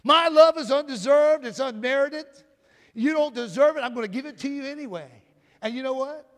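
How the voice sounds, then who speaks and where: A man preaching a sermon with a loud voice, often calling out "Amen?" and swinging from booming to soft. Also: moderate pace. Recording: long hall reverb.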